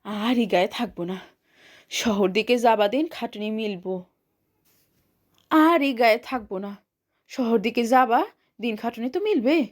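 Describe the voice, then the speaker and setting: A woman voicing a gruff, stubborn old ferryman in a rustic village dialect. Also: fairly high pitch, a little slow, pauses often. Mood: urgent.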